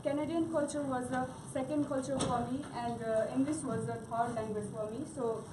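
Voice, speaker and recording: A woman's voice: high-pitched, steady tone, a little fast. A young woman from Nepal whose first language is Gurung, speaking with a Nepali accent and giving a hesitant class presentation full of fillers and restarts. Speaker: student presenter. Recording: echoey room from far off, noisy.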